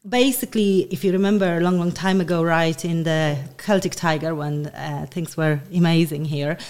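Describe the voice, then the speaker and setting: Low-voiced chatty Polish woman. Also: steady tone, normal speed, a little mellow. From Poland. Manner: chatty, rambling, many fillers and restarts. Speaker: guest. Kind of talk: casual interview chat.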